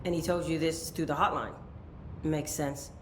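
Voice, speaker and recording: A woman playing a brisk, commanding operations chief. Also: sits low, fairly even intonation, echoey room, a little hiss or hum, close to an everyday voice, poor audio. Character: tough no-nonsense female operations chief. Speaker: head of operations.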